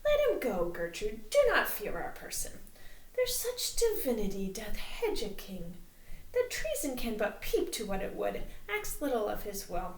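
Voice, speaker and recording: A woman voicing a troubled, scheming middle-aged king who speaks in a measured, heavy way. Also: huge pitch swings, echoey room, low-quality recording. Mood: commanding. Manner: measured, brooding, lowered and heavy.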